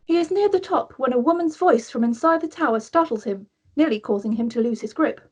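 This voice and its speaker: A woman's voice: high-pitched, animated, brisk, husky. A woman reading stage directions as the narrator of a script table read.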